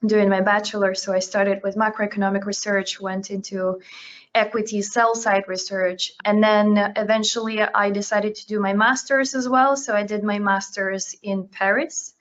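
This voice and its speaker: A woman originally from Ukraine whose first language is Russian, explaining her work in a measured interview answer with frequent pauses and fillers. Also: medium pitch, steady tone. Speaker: podcast guest, portfolio manager. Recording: dull lo-fi remote recording.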